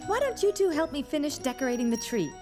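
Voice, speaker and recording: A woman playing a gentle, encouraging young mother who patiently explains things to small children in a kids' cartoon. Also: sits high, big pitch swings, fast talker, over music. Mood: warm praise.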